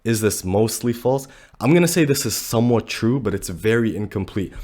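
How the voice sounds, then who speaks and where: A young man, a little sick and deeper-voiced than usual, giving blunt, animated dating commentary full of slang. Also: moderate pace. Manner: blunt, animated, slangy, says bro a lot. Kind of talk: casual commentary to camera.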